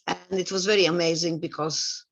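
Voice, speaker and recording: A woman in her eighties from Hungary with a Hungarian accent, giving personal testimony in a reflective, halting way, repeating words for stress. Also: fairly low pitch, steady tone. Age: 80s. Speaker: Holocaust survivor giving testimony. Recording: dull, muffled lo-fi sound.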